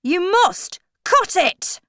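A woman voicing an eccentric, blunt, irritable man in a children's story, with drawn-out, emphatic words. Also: very high-pitched, sing-song, fairly bright tone, dry recording, clean studio sound, voice pushed up, full character voice. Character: eccentric, blunt, irritable male Mad Hatter. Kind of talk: slow children's storybook character voice.

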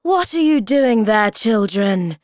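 Woman playing a firm storybook creature mother, animated. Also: mid-range voice, slow, no room sound, clean studio sound. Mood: scolding.

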